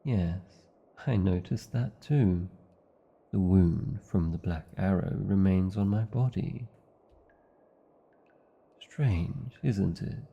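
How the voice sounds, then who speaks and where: A man's voice: low-pitched, slow pace, vocal fry, long pauses, husky, dull audio, heavily acted. A British man playing a proud, tender dragon lover in a fantasy audio romance, speaking in formal, old-fashioned, theatrical phrases. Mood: tender, devoted. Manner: formal, archaic, theatrical phrasing with tag questions. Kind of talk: fantasy ASMR roleplay, slow intimate acting.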